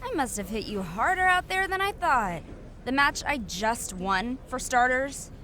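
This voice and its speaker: A woman's voice: sits high, expressive, measured pace, bright, forceful tone, a little hiss or hum, full character voice. An actress playing a confident, sassy young woman wrestler in a video game cutscene, cocky and blunt. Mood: defiant. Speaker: wrestler character.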